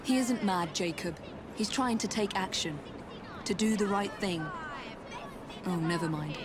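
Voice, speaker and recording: A woman's voice: mid-range voice, unhurried, background noise, lightly acted. An actress playing a level-headed young female assassin in a game cutscene, direct and practical. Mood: exasperated. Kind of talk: video game cutscene acting.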